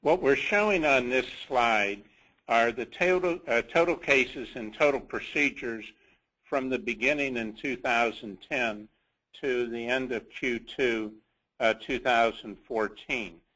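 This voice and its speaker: A man with a mid-pitched voice leads an investor call, going through slides of business figures. He speaks slowly and haltingly, pausing inside his sentences as he presents. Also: bright, forceful tone, low-quality recording. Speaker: company executive leading an investor conference call.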